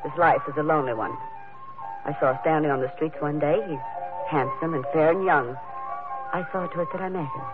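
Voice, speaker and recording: An actress playing a haughty, scheming high priestess with a cool, formal, imperious manner in an old radio drama. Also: female voice, steady tone, fairly quick, natural voice. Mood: cool, smug. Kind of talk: 1940s radio drama acting. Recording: narrow vintage radio recording, faint music.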